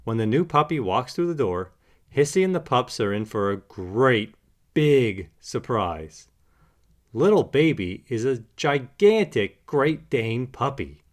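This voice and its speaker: A man reads a children's story aloud. His medium-pitched voice swings widely and expressively, in the manner of animated picture-book narration. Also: slow.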